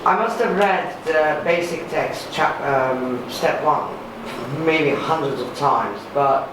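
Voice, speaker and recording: Woman, candid recovery share, emphatic, distant mic, booming hall echo. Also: slow pace. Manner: candid, self-mocking, emphatic. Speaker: workshop participant sharing experience. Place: large meeting hall. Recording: echoey hall recording from far off, noisy.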